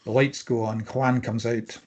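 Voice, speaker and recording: A man's voice: medium pitch, little pitch movement, unhurried, a little mellow. A man narrating a screenplay, reading scene headings and stage directions at a table read.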